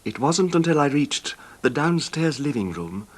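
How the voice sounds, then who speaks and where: An actor playing an older wealthy English husband, haltingly recounting his betrayal in an anguished, formal manner in a radio drama. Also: on the high side, sing-song. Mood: heartsick, haunted. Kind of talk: 1970s radio drama acting, narrating a confession. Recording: noisy 1970s radio drama recording.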